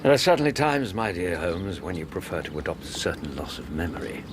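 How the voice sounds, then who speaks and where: A loyal gentleman companion in a radio play, with a deep, lively voice. He is courteous, knowing and gently chiding, over a noisy recording. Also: fairly quick. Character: loyal, gently probing middle-aged English gentleman companion. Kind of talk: radio drama acting.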